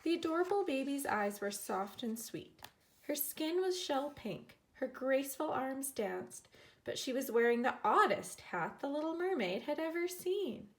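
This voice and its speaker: A woman teacher reading a children's storybook aloud in an expressive way, acting out the characters. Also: high-pitched, unhurried. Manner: expressive, dramatizing characters' lines.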